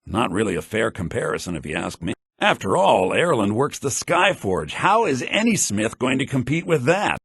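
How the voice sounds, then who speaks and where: An actor playing a pompous, lecherous and scheming wealthy merchant in a fantasy video game, with a raspy voice. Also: male voice, mid-range voice, sing-song, normal speed, few pauses, fairly bright tone, no echo, studio quality, heavily acted. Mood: gossipy, smug. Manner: pompous, smug, theatrical.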